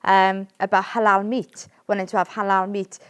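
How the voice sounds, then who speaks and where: A woman's voice: mid-range voice, little pitch movement, moderate pace, a bit bright, clean tone. Earnest Welsh woman, emphatic. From Wales. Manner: earnest and emphatic, keeps asking "right?". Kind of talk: casual political discussion.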